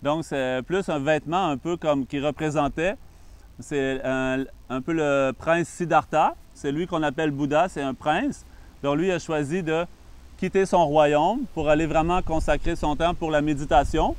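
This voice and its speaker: A Canadian man with a Québécois French accent, a Buddhist monk giving a calm, patient introductory talk on meditation with frequent pauses. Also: a little high, smooth.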